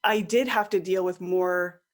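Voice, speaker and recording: A woman's voice: lowish voice, steady tone, a little slow. A woman speaking as a podcast guest in a conversational interview, informal and thinking aloud, voicing imagined quotes as she explains. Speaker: podcast guest, online course creator and coach.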